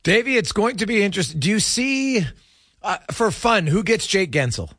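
A man's voice: sits high, very animated, moderate pace, a bit bright, no room sound, clean studio sound. A man hosting a casual sports talk show, conversational and often checking in with his guest.

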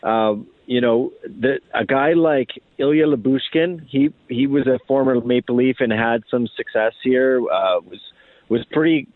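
A man's voice: mid-range voice, unhurried, dry recording. A man giving conversational hockey analysis as a guest reporter, heard over a thin phone line.

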